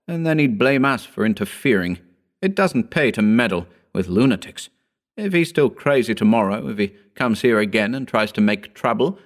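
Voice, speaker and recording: A man voicing a vain, scornful, excitable male horror writer in a pompous, declamatory audiobook style. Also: medium pitch, pitched above the performer's own voice, lightly acted. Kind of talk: dramatic audiobook character reading.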